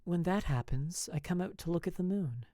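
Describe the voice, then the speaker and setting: A performer voicing a calm, unworried married woman in a western audio story. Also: medium pitch, normal speed, no room sound, studio quality, voice pushed up, close to an everyday voice. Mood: calm, unbothered.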